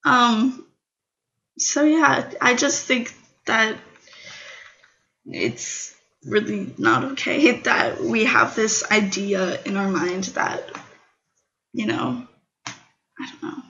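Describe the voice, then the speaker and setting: A young woman chats casually as a show host. Her voice is raspy and worn from a sore throat, and she speaks haltingly, searching for words. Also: on the high side, animated, sharp tone, dull audio. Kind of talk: casual opinion talk.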